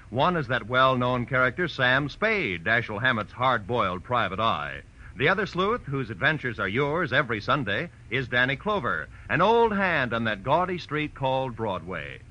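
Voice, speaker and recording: Male American golden-age radio announcer, piercing forward tone, animated pitch, polished promotional read. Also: poor audio. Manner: polished, emphatic.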